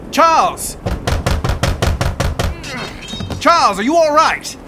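An actor playing a practical middle-aged father in a radio drama, exasperated and gruff in manner. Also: very high voice, very animated, normal speed, over music. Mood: alarmed.

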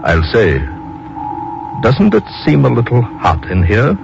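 An actor playing a scheming, commanding general and hypnotist in a 1950s radio drama, speaking deliberately. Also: male voice, mid-range voice, huge pitch swings, gravelly, full character voice. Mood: commanding, controlled. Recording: muffled old radio recording, music behind.

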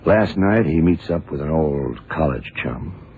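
An actor playing a gruff, sardonic blind private detective in a radio drama, terse and wry, using slangy questions. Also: male voice, extremely low pitch, lively intonation, unhurried, exaggerated voice. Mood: businesslike. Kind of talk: 1960s-70s radio drama acting. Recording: muffled phone-like vintage radio, faint noise.

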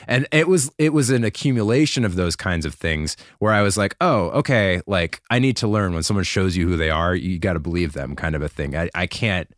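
A man in his thirties speaks as a podcast guest, candid and reflective, often poking fun at himself. His voice is very low for a man. The recording is dry and close. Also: fairly quick, hardly any pauses, dry recording. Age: 30s. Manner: reflective, candid, self-deprecating. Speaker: podcast guest, keyboard player. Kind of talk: casual chat.

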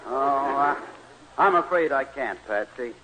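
An actor playing a meek, earnest greeting-card poet, hesitant and apologetic, in 1940s radio comedy style on a muffled old broadcast. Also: male voice, medium pitch, lively intonation, a little slow, pushed and bright. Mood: regretful.

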